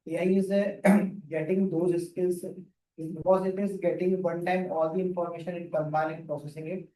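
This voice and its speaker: A guest man answers an impromptu question in a halting way, with many fillers. His tone is mellow and a little high. He is heard from off mic, with an echo from the room. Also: level tone, distant mic. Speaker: guest speaker. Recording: roomy sound from off mic.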